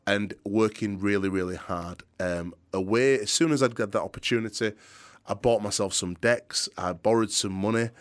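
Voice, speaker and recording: A middle-aged man with a softened Yorkshire accent, telling earnest stories of his years as a DJ and presenter in an interview. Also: fairly low pitch. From Yorkshire, England. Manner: energetic, earnest, some restarts. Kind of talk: interview answers, storytelling. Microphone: studio microphone.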